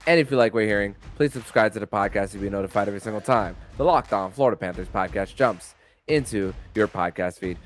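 A man hosting a sports podcast in a conversational style, with a somewhat halting delivery that repeats words. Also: lowish voice.

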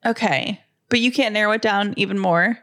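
A woman's voice: medium pitch, brisk, fairly bright tone. A woman chatting casually as a podcast co-host, talkative and full of questions. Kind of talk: casual chat.

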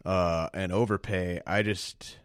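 A man with a very low voice speaks on a podcast, his voice rough and raspy. He talks haltingly and keeps restarting his words. The recording is dry and close. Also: fairly even intonation, no room sound. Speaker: prospect writer, co-host. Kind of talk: casual fantasy baseball chat.

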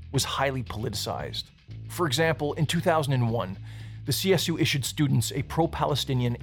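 A man hosting a podcast, narrating a scripted documentary story in a conversational way. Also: mid-range voice.

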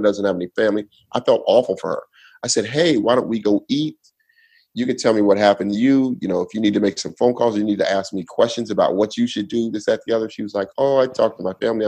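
Gravelly low-voiced man telling a story. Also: fast, mellow, low-quality recording. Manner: laid-back, rambling with restarts. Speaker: guest storyteller. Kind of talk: casual podcast storytelling.

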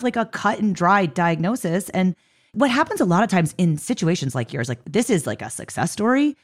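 A woman interviewing in an informal, conversational way, animated and encouraging. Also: lowish voice. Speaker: podcast interviewer.

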